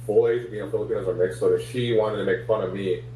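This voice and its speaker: A man with a low, mellow voice, a comedian guest talking through his stage experiences in an easy, reflective way. He is recorded from a distance in a roomy space with a faint hum underneath. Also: fairly even intonation, fast talker. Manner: reflective, self-analytical, many fillers. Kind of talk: casual interview chat. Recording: echoey room, distant mic, faint hum.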